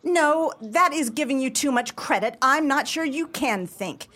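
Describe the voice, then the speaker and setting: A woman playing an indignant, sensitive, sharp-tongued young woman in a radio play, with theatrical, emphatic delivery. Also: sits high, animated, a little slow, exaggerated voice. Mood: furious.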